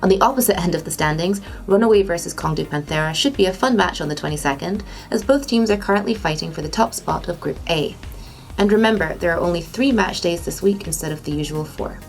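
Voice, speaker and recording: A woman with a slightly low voice presents scripted gaming news. She narrates as an esports news presenter, with light music playing behind her. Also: quick pace, vocal fry.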